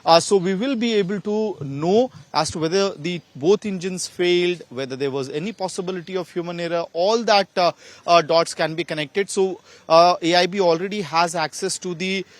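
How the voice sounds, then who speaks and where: High-pitched Indian-accented male news reporter. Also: dry recording. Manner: halting, hesitant delivery with frequent filled pauses. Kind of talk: live unscripted news report.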